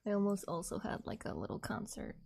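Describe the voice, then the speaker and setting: A woman's voice: medium pitch, very animated, brisk, creaky, darkish tone, slight background noise. A woman chatting casually to her livestream viewers in a rambling, repetitive way. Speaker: streamer, artist and ASMR creator.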